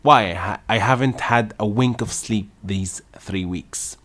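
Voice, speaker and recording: A man's voice: fairly low pitch, measured pace, fairly bright tone, pitched above the performer's own voice. A man voicing a frantic shrieking mother pigeon. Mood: shrill. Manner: agitated, repeats and stumbles over lines. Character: fretful shrieking female pigeon guarding eggs.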